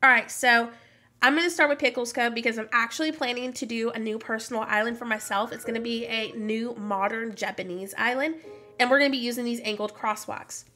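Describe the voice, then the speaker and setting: A woman's voice: fairly high pitch, fairly even intonation, a little fast, bright, forceful tone. A woman in her thirties, stuffy from a cold, chatting casually with viewers while livestreaming a game and greeting them by name. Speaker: streamer. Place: home room, indoors. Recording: music playing behind voice.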